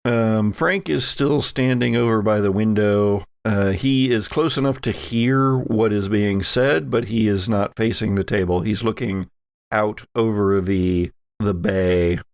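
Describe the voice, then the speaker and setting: Male game master and podcast host, casual delivery, fairly low and creaky. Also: a little slow, no room sound, studio quality. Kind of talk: casual chat and tabletop game narration.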